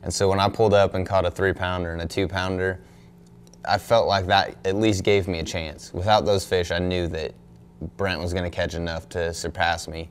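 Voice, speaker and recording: A young man, a pro angler, answering questions at a press conference in a relaxed, thoughtful way, full of "you know" and "um". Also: low voice, moderate pace, a little hiss or hum. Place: indoor press room in front of a backdrop. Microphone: desk microphone on a stand.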